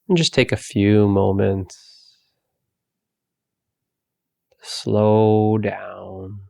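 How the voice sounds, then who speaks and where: A man leading a guided meditation, giving calm, soothing, gentle instructions with long pauses between phrases. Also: on the low side, vocal fry, no echo.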